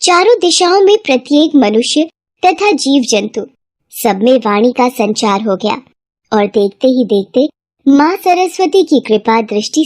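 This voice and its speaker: Casting: female narrator, high voice, scripted devotional storytelling, close dry recording. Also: a little mellow, no room sound.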